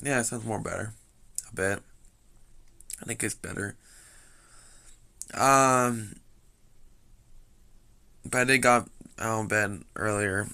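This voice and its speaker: Young guy rambling, kinda raspy today, lots of "like" and "um", bright edgy voice. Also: mid-range voice. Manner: hesitant, full of fillers and restarts. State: slightly raspy voice. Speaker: vlogger. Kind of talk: rambling storytelling.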